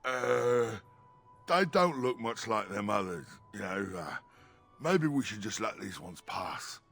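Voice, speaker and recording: An actor playing a dim, uneasy highwayman henchman in a fantasy game, rough-spoken and simple. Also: sing-song, slow pace, a bit bright, faint music behind, full character voice.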